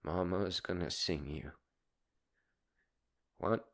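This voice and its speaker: A man's voice: sits low, takes it slowly. A man delivering a dramatized poetic monologue in a solemn, grandiloquent, theatrical manner.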